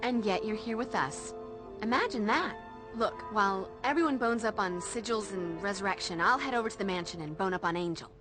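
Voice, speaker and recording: Woman playing bossy american teen girl hero in a game, sarcastic, mid pitch, music behind. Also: fairly quick, lightly acted. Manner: sarcastic, blunt, slangy. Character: wry, bossy teenage girl demon fighter. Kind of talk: video game voice acting.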